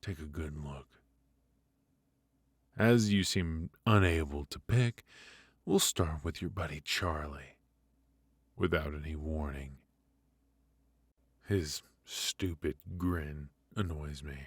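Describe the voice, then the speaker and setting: A man playing a ruthless, taunting mafia boss in an intimate ASMR roleplay, slow and menacing with mock politeness and deliberate hesitations. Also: a bass voice, breathy edge, no room sound, clean studio sound, exaggerated voice. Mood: cold, threatening. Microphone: close studio microphone.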